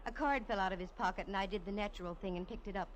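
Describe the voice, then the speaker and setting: A woman playing a brisk, wry female private eye, narrating a 1950s radio drama in a crisp manner. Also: mid-range voice, a crowd in the background, natural voice, poor audio. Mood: tense.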